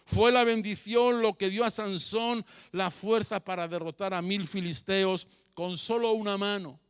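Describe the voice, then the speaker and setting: Male pastor, high smooth voice, emphatic sermon delivery with deliberate repetition. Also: fairly even intonation, a bit bright. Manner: emphatic, repeats phrases for effect; rhetorical questions addressed to listeners. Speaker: pastor preaching to a congregation.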